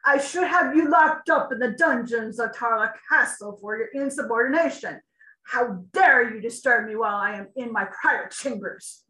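A woman's voice: on the high side, normal speed, bright, forceful tone. A woman voicing a stern, haughty king in a storybook reading. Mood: indignant. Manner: commanding, indignant. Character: stern, haughty middle-aged male king. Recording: echoey room.

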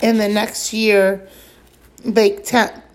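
A woman reading a children's book aloud as scripted narration, leaning deliberately on key words and lists. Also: medium pitch, little pitch movement.

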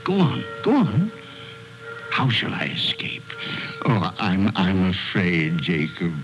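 An actor playing a crotchety, skeptical old male miser in an old radio drama, theatrical and halting, blustering and easily frightened. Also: sits low, wildly swooping pitch, heavily acted. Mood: anxious, bargaining. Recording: dull old radio recording with music.

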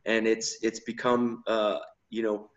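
A man's voice: lowish voice, little pitch movement, unhurried. A man co-hosting a podcast, talking casually in long, winding sentences with frequent restarts. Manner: discursive, restarts mid-sentence. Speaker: podcast co-host, coach. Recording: muffled lo-fi audio.

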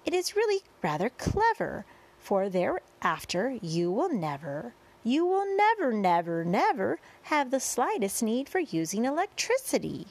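A woman playing a small boy in a storybook, cheerful, polite and excited, with exclamatory, singsong rhyming delivery. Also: on the high side, a little slow, voice pushed up, full character voice. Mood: proud, showman-like.

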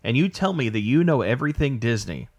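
A man's voice: mid-range voice, very animated, a little fast. A man co-hosting a casual podcast, running a trivia game with dry, self-deprecating jokes. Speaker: podcast co-host, quizmaster. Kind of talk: joking game-show hosting.

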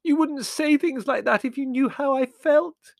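A man voicing a tearful, easily wounded young woman in a squeaky, drawn-out wail, as in a storybook reading. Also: animated, gravelly, fairly bright tone, no echo, studio quality, exaggerated voice. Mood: wounded, sobbing.

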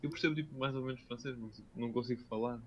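Very fast-talking young Portuguese man, dull recording. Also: mid-range voice, a crowd in the background. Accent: European Portuguese. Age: young adult. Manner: slangy, informal. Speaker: podcast co-host. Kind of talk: casual chat. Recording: dull, lo-fi sound.

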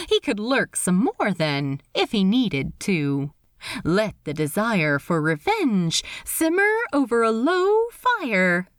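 A woman narrating a story aloud as an expressive audiobook reading. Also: medium pitch, no echo, clean studio sound. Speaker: fan fiction reader.

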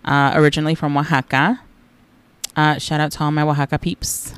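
A woman's voice: sits low, flat delivery, fairly quick, smooth. A woman in her thirties from Los Angeles co-hosting a podcast in an upbeat, chatty way. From Los Angeles, California. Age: 30s. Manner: upbeat, chatty, laughs easily. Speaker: podcast co-host. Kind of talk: casual chat.

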